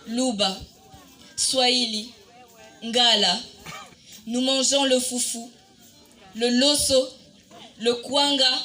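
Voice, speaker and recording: A woman reciting a poem in a dramatic spoken-word style, solemn and declamatory, with deliberate pauses. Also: a little high, bright, forceful tone. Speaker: poetry reciter. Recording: music bed under the voice. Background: music.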